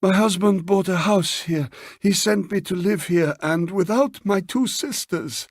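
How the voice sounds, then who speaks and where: Man voicing an anxious, high-pitched pleading woman. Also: unhurried, dry recording, clean studio sound. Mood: pleading, troubled. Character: anxious, pleading foreign woman visitor, haunted and desperate.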